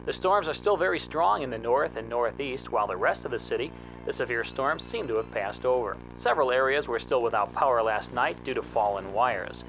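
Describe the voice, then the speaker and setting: An actor playing a matter-of-fact radio news and weather announcer reading a bulletin within a drama. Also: male voice, mid-range voice, animated, a little fast, fairly bright tone, slight background noise, poor audio. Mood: informative.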